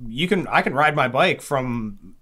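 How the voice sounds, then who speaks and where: A man from Maryland co-hosts a podcast with an ordinary middle-pitched voice. He talks in a loose, slangy, laid-back way and swears casually as he jokes with friends. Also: lively intonation, measured pace, pushed and bright. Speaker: podcast co-host.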